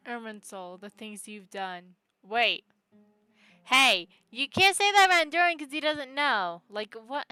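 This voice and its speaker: Bright, clear-voiced woman streamer. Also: fairly high pitch, expressive, a little slow, dry recording, faint music behind, rough recording. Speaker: game streamer. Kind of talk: casual live gameplay commentary and reading dialogue aloud.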